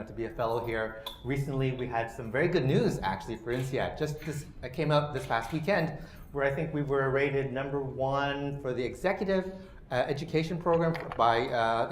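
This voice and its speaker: A man's voice: medium pitch, a little hiss or hum. Man lecturing, filler-heavy. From Canada. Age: 40s. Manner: loose, full of "you know" and "uh" fillers. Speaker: guest speaker. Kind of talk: lecture presentation. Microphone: microphone. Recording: roomy lecture hall sound.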